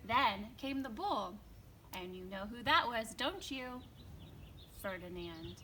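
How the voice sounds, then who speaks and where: A woman reads a picture book aloud to young children in an expressive way, with dramatic emphasis and hushed phrase endings. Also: a little high, takes it slowly, slight background noise. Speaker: children's storytime reader. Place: outdoors in a backyard.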